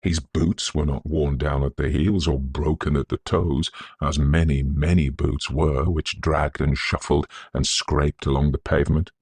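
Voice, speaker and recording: A man reading a Victorian ghost story in a scholarly, measured, atmospheric manner. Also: very deep voice, steady tone, darkish tone, husky, no room sound. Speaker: narrator.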